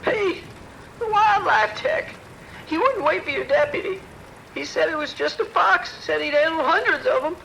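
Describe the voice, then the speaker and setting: Panicked scientist male actor, extremely high, sharp voice, breathless audio-drama delivery over faint noise. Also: animated, moderate pace, vocal fry, exaggerated voice, low-quality recording. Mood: frantic, panicked. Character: panicked research doctor reporting a lab animal attack.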